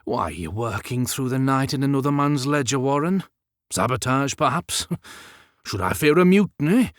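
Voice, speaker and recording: A man voicing a gentle, resigned older Victorian mill manager in an audiobook, wearily questioning and chiding his clerks. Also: mid-range voice, lively intonation, moderate pace, dry recording, clean studio sound, voice pushed up, lightly acted.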